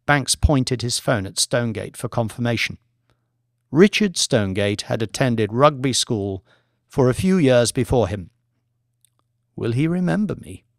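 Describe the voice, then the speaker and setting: A man's voice: medium pitch, animated, unhurried, no room sound, studio quality. A man narrating scripted fiction as an audiobook reader.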